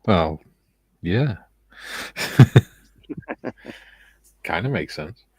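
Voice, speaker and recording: A man's voice: low-pitched, lively intonation, drawn-out words, breathy edge, no echo. A man hosting a live stream, casually reading out chat messages with chuckles and jokes. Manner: chuckling, jokey.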